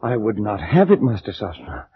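Frightened, pleading servant, old radio. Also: male voice, lowish voice, very animated, moderate pace. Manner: agitated, theatrical. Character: frightened, pleading, superstitious male assistant to ancient master. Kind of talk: 1940s radio drama acting. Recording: dull noisy 1940s radio recording.

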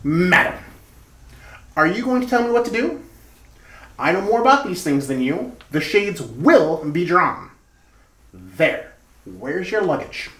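A man's voice: sits high, sing-song, measured pace, pauses often, fairly bright tone, exaggerated voice. A man playing a cheeky know-it-all hotel bellboy in a retro radio comedy, officious and theatrical. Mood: scandalized. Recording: roomy, echoing amateur radio-play recording.

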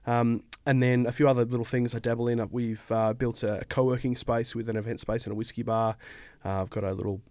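Aussie guy in his 30s rambling easy on a podcast, mid pitch, dry studio. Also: little pitch movement, fast talker, darkish tone, no echo. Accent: Australian. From Australia. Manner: laid-back and rambling, with many fillers. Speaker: guest, accounting firm owner. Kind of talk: podcast interview.

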